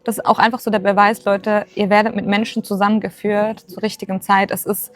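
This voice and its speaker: A woman's voice: medium pitch, flat delivery, brisk, no echo, clean studio sound. A woman in her late twenties talking earnestly and encouragingly in a casual chat, with many filler sounds. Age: 20s. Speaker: co-host.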